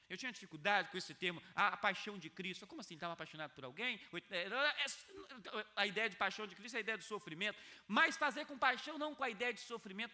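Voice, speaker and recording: A male pastor giving an earnest video sermon, often repeating key phrases for emphasis and swinging between quiet, low passages and loud, high ones.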